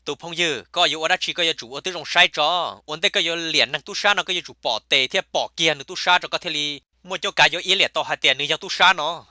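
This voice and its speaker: A man voicing a comic male cartoon character who chatters in a rapid, emphatic way. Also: fairly high pitch, bright, forceful tone, no room sound. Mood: chatty.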